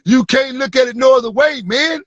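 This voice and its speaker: A man's voice: very high voice, brisk, a bit bright, lo-fi sound. A man hosting a podcast in casual street slang, pressing his points and asking if the listener follows him. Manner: emphatic, street slang, asks "you feel me". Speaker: podcast host.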